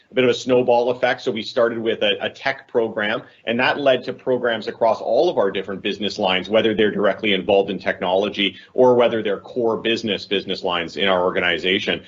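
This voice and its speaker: A man's voice: on the low side, steady tone, moderate pace, hardly any pauses, fairly bright tone, breathy edge, lo-fi sound. A man speaking as a corporate talent head on a panel, explaining his points reflectively and correcting himself as he goes. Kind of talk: conversational panel answer.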